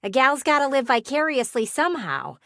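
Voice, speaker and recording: A woman's voice: sits high, big pitch swings, fairly quick, fairly bright tone, no room sound, heavily acted. An actress voicing a talking window in a video game, a flirty yet wistful female people-watcher with a folksy, teasing, theatrical drawl. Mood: encouraging, wistful. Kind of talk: video game character voice acting. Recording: close, dry studio recording.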